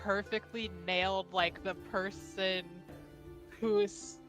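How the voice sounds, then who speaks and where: A woman's voice: medium pitch, fairly even intonation, takes it slowly, a bit bright, soft background music, low-quality recording. A woman playing a tabletop role-playing game, chatting and giggling a lot. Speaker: player. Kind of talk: casual chat at tabletop game.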